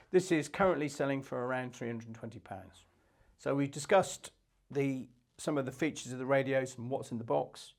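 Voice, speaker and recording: Matter-of-fact man presenting products. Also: medium pitch. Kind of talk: unscripted product review presentation.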